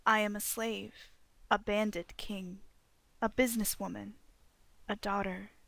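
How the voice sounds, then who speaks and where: A woman reading dramatic first-person fiction as a passionate, defiant leader, in a solemn, deliberate, oratorical manner. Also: mid-range voice, steady tone, creaky, a bit bright, dry recording. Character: Kalara Vadras, passionate defiant woman leader recounting her memoir. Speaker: author narrating own fan fiction audiobook.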